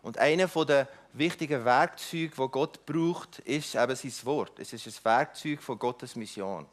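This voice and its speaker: A middle-aged man whose first language is not German gives an earnest church talk about mission, halting often with restarts and fillers. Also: medium pitch. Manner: earnest, inviting. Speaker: mission speaker.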